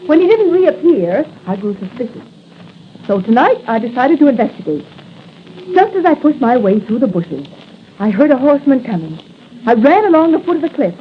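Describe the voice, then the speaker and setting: An actress playing a plucky, eager young newspaper reporter in 1940s radio style. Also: female voice, sits high, sing-song, normal speed. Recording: muffled noisy 1940 radio recording.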